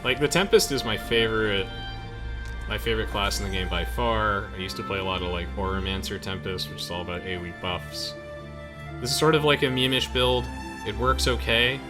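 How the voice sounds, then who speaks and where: A man's voice: fairly low pitch, brisk. A young man hosting a let's play with casual, chatty and playful game commentary. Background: game music.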